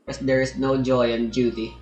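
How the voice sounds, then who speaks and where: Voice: mid-range voice, flat delivery, moderate pace, darkish tone, dull audio, slight background noise. Wry streamer in an echoey room. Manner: wry, offhand remarks. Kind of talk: casual gameplay commentary. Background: game audio.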